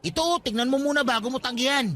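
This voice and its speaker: A man's voice: extremely high pitch, steady tone, fast talker, bright, forceful tone, faint music behind. A man acting an earnest, eager-to-please young Filipino suitor in a radio drama, pleading and coaxing.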